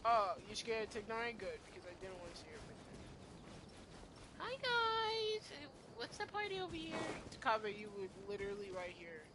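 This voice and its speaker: A young woman does casual gameplay commentary in a medium-pitched voice. She rambles playfully and talks in slang, like someone chatting along to a stream. The recording has loud noise behind her. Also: huge pitch swings, moderate pace.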